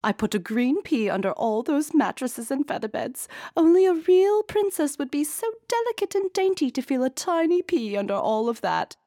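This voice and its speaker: A woman voicing a bossy, excitable queen in a storybook, squealing high and loud. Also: moderate pace, hardly any pauses, no echo, studio quality. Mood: gleeful.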